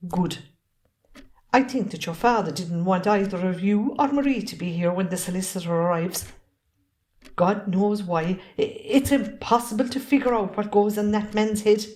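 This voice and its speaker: Casting: woman as middle-aged Irish farm wife, wronged and resentful, mid-pitched, reproachful in stage-play style. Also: moderate pace, darkish tone, close to an everyday voice. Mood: weary, resentful. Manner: reproachful, pointed. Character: wronged, resentful Irish farmer's wife, standing her ground. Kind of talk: amateur stage-play acting.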